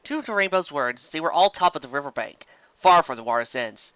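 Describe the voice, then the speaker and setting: A man reading a story aloud as an expressive amateur narrator, recorded in dull audio. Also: a little high, fairly quick, clear, resonant tone, no room sound.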